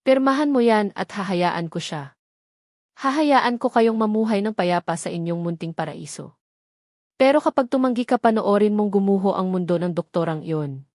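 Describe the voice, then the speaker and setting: A wealthy, venomous stepmother from a romantic audio storybook, acted by a woman narrator. She speaks with a sweet softness that carries cold menace. The sound is dry, with little room around it. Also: mid-range voice, normal speed, no room sound, natural voice. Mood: smug, menacing. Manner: soft, sweet but menacing.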